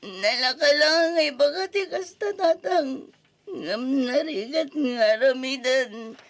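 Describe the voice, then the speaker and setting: High, creaky-voiced heartbroken young mother. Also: expressive, slow pace, exaggerated voice. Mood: anguished. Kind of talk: old radio drama acting.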